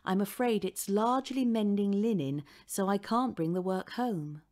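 A woman's voice: medium pitch, normal speed, darkish tone, close to an everyday voice. A woman voicing a cheerful, affectionate mother with a tender, reassuring manner in a children's story. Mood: apologetic.

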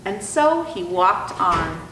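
A young woman reading a children's storybook as host, expressive, deliberate and sing-song. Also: medium pitch, recorded from a distance. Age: young adult. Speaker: zoo educator and storytime host. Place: indoor room, reading through a face mask. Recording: roomy sound with faint background noise.

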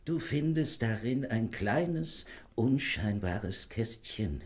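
An actor playing a frail old dying father, gentle, solemn and deliberate, in a fairy-tale audio drama. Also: medium pitch, expressive. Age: older.

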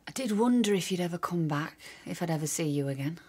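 An actress in a radio drama playing a wounded, suspicious estranged wife with a husky voice and dry sarcasm. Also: female voice, fairly low pitch, animated, fast talker, mellow. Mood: bitter. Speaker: actor.

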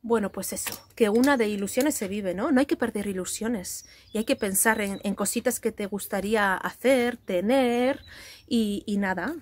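A woman's voice: mid-range voice, moderate pace. A woman, chatting casually as she gives a house tour on a phone held at arm's length on an outdoor terrace. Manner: chatty, animated, cheering on her son. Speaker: family vlogger. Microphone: handheld phone at arm's length.

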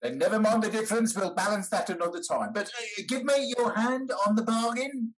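Man playing halting, courteous old moneylender. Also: high voice, natural voice. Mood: resigned, warm. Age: older. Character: older gentleman posing as a polite, hesitant moneylender. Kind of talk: period comedy stage acting over video call. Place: dim room indoors, video call.

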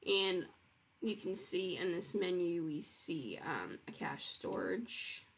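A woman's voice: fairly low pitch, animated, slow pace, slight background noise. A woman walking through her own web project in a casual screen-recorded demo, explaining with frequent ums and restarts.

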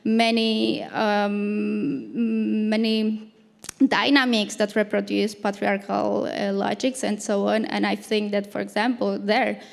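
Halting woman panelist, slow and smooth. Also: medium pitch, steady tone. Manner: halting, searching for words with repeated starts. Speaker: panel speaker, activist anthropologist. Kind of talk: unscripted answer at a panel discussion. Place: large dark auditorium stage. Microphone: handheld microphone held close. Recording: reverberant hall sound.

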